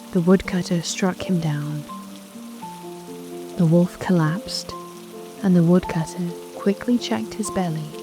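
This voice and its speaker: A woman narrating a children's bedtime fairy tale in a gentle scripted storytelling style. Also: lowish voice. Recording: soft lullaby music and rain behind.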